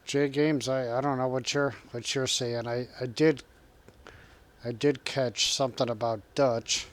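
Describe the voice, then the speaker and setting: Male streamer, relaxed running chat with viewers over gameplay, mid pitch. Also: unhurried. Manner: chatty, reacts to chat and game, laughs often. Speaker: game streamer.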